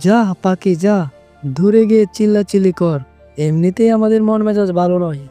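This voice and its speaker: Man voicing an irritable, smooth-voiced father fox. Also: sits high, moderate pace, warm tone, faint music behind, close to an everyday voice. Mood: irritated. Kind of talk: children's cartoon dubbing.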